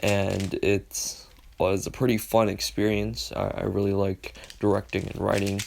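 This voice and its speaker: A young man, a student director, casually introducing his video in a gravelly voice. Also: on the low side, flat delivery, measured pace, darkish tone, a little hiss or hum. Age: young adult.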